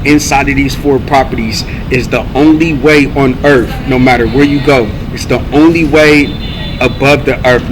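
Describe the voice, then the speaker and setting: An American man speaks in a rambling, earnest monologue at a middle pitch with very little melodic movement. His delivery is halting and repetitive, circling back over his declarations. Clear background noise sits under the recording. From United States (California).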